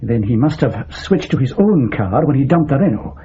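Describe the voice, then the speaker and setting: An actor playing a commanding, wry senior intelligence officer in a radio drama, giving orders and questioning briskly. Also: male voice, medium pitch, sing-song, warm tone, lightly acted. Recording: muffled, slightly noisy radio drama recording.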